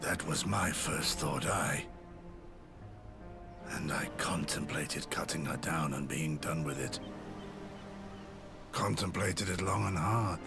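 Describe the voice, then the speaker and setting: Aged samurai man, solemn, gravelly, very slow measured delivery, archaic wording, video game drama. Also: a bass voice, over music, full character voice. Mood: dark, reflective. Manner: formal, measured, old-fashioned phrasing. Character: aged male samurai, solemn, gruff, protective storyteller. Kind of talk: dramatic game cutscene acting, archaic diction.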